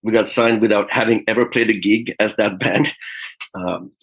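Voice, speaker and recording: A man in his fifties, a guest musician, telling stories in a relaxed interview with frequent fillers and small laughs. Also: lowish voice, moderate pace, rough recording. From Finland. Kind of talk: casual interview talk.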